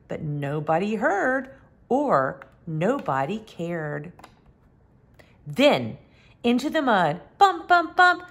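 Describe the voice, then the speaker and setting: A woman reads a picture book for young children in a high, lively voice. Her pace is very slow, and she stretches words expressively as a storytime host. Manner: expressive, with emphatic animal sounds and drawn-out words.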